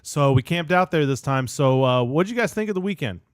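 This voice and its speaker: A man's voice: on the high side, brisk, clear, resonant tone, no room sound, clean studio sound. A man hosting a podcast, chatting casually with lots of fillers. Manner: relaxed, rambling with fillers. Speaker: podcast host. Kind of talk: casual chat.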